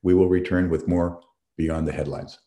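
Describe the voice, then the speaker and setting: A man hosting a panel discussion, asking measured, halting questions. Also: sits low, steady tone, dark tone, reverberant. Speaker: host, foundation president.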